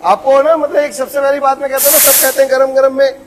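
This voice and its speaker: Man, factory owner, high and monotone sales patter, noisy shop floor. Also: quick pace. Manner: eager salesman, keeps inviting and promising quality. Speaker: footwear factory owner giving a tour. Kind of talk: sales pitch factory tour. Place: footwear factory floor, indoors. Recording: noisy factory machinery behind.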